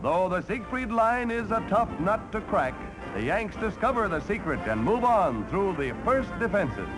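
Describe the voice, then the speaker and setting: Male American newsreel commentator, 1940s. High, swooping delivery, punchy and theatrical, old soundtrack. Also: very animated, normal speed, poor audio. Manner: punchy, colourful, theatrical announcing. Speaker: newsreel narrator. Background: loud music.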